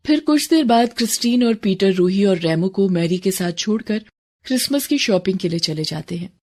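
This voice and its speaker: A woman's voice: mid-range voice, expressive, normal speed, darkish tone. A woman narrating a scripted moral story for children as a storyteller.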